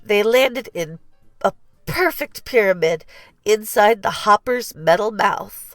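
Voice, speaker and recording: A woman narrating a children's storybook in an expressive, deliberate read-aloud style. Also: mid-range voice, no room sound. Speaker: storybook reader.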